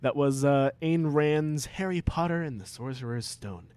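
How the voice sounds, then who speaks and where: A man's voice: mid-range voice, animated, normal speed, darkish tone, smooth. A man narrating a comic story as a dramatic reading.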